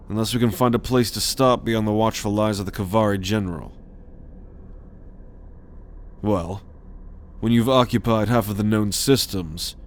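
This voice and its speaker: A man acting a roguish, protective alien scout captain in a sci-fi ASMR roleplay, measured and authoritative as he gives orders. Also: on the low side, darkish tone, lightly acted.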